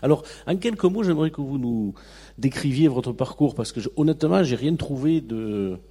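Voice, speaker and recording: A man interviewing an author, friendly and quick with short affirming interjections. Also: mid-range voice, expressive, mellow, breathy edge. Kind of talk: radio interview.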